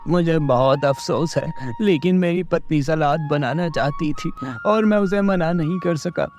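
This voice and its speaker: Man playing an earnest high-voiced storybook man. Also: unhurried, darkish tone, faint music behind. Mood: apologetic. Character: storybook man, earnest husband or admiring young prince.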